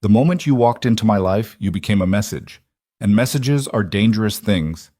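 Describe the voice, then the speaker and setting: A man voicing a powerful, protective mafia boss in audiobook dialogue, measured and grave. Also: lowish voice, animated, mellow, no echo, studio quality. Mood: intense, possessive.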